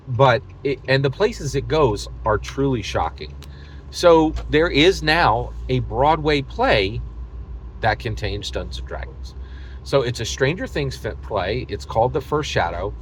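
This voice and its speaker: American man, very lively pitch swings, emphatic hyperbolic delivery, halting casual monologue, noticeable background noise. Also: rough recording. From United States. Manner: emphatic, repetitive, hyperbolic, halting mid-thought. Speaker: host of a tabletop gaming video channel. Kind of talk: casual opinionated monologue to camera.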